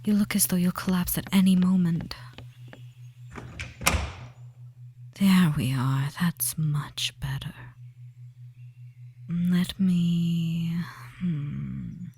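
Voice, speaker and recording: A coaxing male forest witch, as an ASMR performer plays him, whispering in a soft, mellow voice with a creaky edge. He speaks slowly and soothingly, fussing over the listener with tender endearments. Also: medium pitch, a little hiss or hum. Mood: soothing, welcoming. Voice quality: breathy whispering. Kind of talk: ASMR roleplay.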